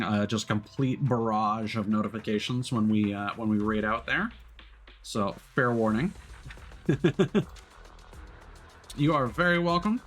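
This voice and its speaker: A man's voice: medium pitch, fairly bright tone. A man livestreaming a video game, chatting casually and commenting as he plays.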